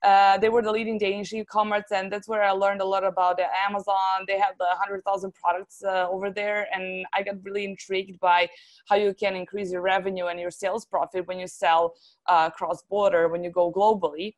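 A woman's voice: medium pitch, level tone, brisk. A woman from Serbia with a Serbian accent, talking casually and chattily as an interview guest. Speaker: interview guest, translation agency founder.

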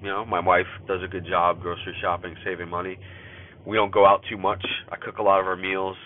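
Podcast host, 30s man, stuffy with a cold, low voice, rambling casual journal from his car, tinny phone-like sound, faint road noise. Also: flat delivery, brisk. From California. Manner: rambling, many fillers and restarts. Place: in a car while driving.

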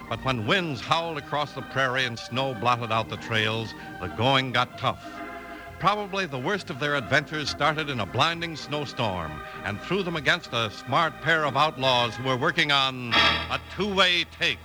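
A man's voice: medium pitch, animated, moderate pace, poor audio. An American man announcing and narrating in scripted 1950s radio style, with a polished showman's delivery. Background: music.

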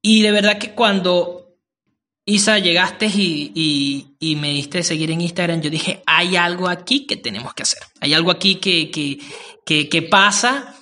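A man hosting a casual podcast interview, speaking in a warm, rambling way with frequent hesitations. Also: high voice, measured pace. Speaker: podcast host.

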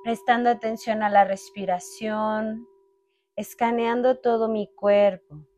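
Slow-paced woman guiding a meditation over music. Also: on the high side. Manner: calm, unhurried, short phrases with long pauses. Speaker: meditation guide. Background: meditation music with sustained tones.

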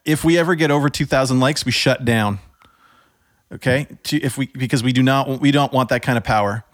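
A man's voice: medium pitch, a little fast, dry recording, clean studio sound. A man hosting a podcast, chatting casually in a wry, joking way. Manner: jokey, wry. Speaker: podcast host. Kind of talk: casual chat.

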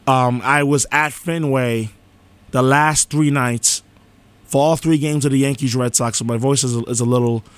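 A man's voice: medium pitch, steady tone, normal speed, no room sound. A man hosting a live college radio show, speaking earnestly with a sore, cracking voice. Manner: earnest, heartfelt thank-yous and station promos. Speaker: radio host. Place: radio studio. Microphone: studio microphone.

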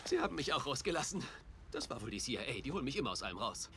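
An actor playing a tactless, upbeat, eccentric detective who talks in a chatty, flippant way in a dubbed TV drama. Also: male voice, mid-range voice, very animated, racing, noisy background.

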